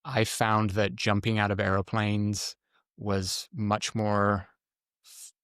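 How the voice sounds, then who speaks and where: Low-voiced man explaining at a halting pace. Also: flat delivery, a bit bright, clear, resonant tone, no echo, clean studio sound. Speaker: guest, former Army Ranger medic. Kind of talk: conversational interview answers.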